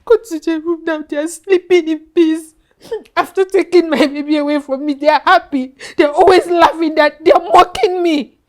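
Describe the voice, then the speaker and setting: A woman's voice: very high voice, fairly even intonation, normal speed. A woman playing a grieving mother, crying and accusing her husband. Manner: sobbing, accusing. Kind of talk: melodrama acting.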